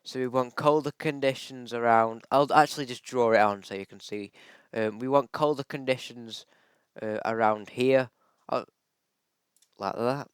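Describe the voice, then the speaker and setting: A man's voice: medium pitch, normal speed, smooth, dry recording, clean studio sound. A man with a bad cold and a slightly off voice, chatting casually through weather charts with plenty of ums. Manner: chatty, lots of ums and likes, thinks aloud. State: has a bad cold, voice a little off. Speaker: weather forecaster. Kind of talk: casual forecast vlog over screen-shared charts.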